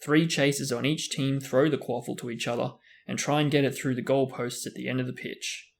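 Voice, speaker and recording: A man voicing a tired schoolboy hero, flat and resigned as he explains things. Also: mid-range voice, a little fast, creaky, close to an everyday voice.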